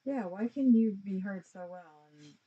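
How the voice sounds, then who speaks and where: A woman's voice: medium pitch, moderate pace, phone-quality sound. A woman co-hosting a livestream in casual, conversational chat. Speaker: livestream co-host. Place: inside an RV. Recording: distant mic with clear background noise.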